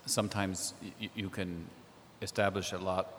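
A man talking as a literary translator on a panel, thoughtful and hesitant, with many pauses and ums. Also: low-pitched, flat delivery, fairly bright tone, a little hiss or hum. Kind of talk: panel discussion. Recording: echoey hall.

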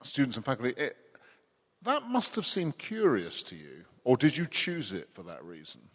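A man's voice: mid-range voice, sing-song, normal speed, a bit bright. Wry male interviewer, hall echo. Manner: long winding questions, dry wit. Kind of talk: probing interview questions. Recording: long hall reverb.

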